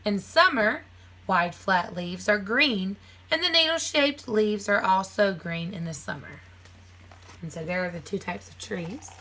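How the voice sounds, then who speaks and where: A woman's voice: medium pitch, fairly bright tone, poor audio. A woman teacher reading a children's nonfiction book aloud, phrasing it simply for young listeners with casual asides.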